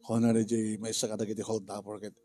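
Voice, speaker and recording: A man with an Ilocano Filipino accent talking casually through a drama story in a halting, rambling, jokey way. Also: lowish voice, little pitch movement, warm tone, soft background music. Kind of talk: casual spoken story briefing.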